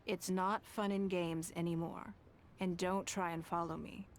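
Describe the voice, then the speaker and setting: An actress playing a composed, authoritative lady in a video game, speaking in a measured, articulate way. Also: on the low side, little pitch movement, slight background noise. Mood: calm, in control. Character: poised, composed adult lady of authority, kindly but stern.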